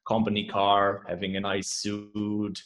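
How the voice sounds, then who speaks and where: A man's voice: lowish voice, monotone, unhurried, fairly bright tone. A young adult man with a German accent, a guest chatting casually and enthusiastically on a podcast. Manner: upbeat, enthusiastic, repeats "man" and "right?". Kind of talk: casual interview chat.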